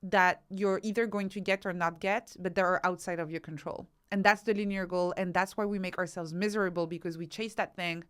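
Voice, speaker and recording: A woman's voice: on the low side, steady tone, a little fast, hardly any pauses, fairly bright tone, clear, resonant tone. A woman guest explaining and advising conversationally, with emphatic repetition and rhetorical questions. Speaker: podcast guest, expert author.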